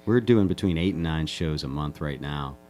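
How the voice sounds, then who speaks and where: A man's voice: extremely low pitch, expressive, moderate pace, music in the background. A middle-aged man, a guitarist chatting casually and joking in a radio interview. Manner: easygoing, joking, rambling. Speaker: guitarist in a duo.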